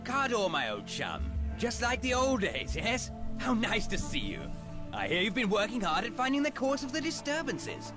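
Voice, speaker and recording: An eccentric old professor in a sci-fi game. His voice is high and swoops wildly between notes, chummy and absent-minded, with music clearly playing behind. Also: very animated, normal speed. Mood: chummy, cheerful. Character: eccentric, doddery, jovial old male professor.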